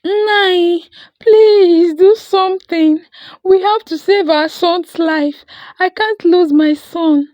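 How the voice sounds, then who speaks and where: Distraught village mother from a folktale, played by a female storyteller, emotional, raised pitch. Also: takes it slowly, a little mellow, no room sound, highs cut off. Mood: frantic pleading. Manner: emotional, pleading. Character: distraught village mother pleading for her son.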